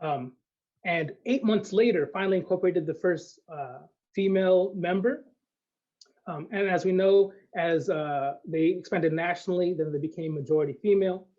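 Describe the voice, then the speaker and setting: A man speaking as an academic guest in an unscripted discussion, thinking aloud in a hesitant, halting way. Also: high-pitched, muffled recording.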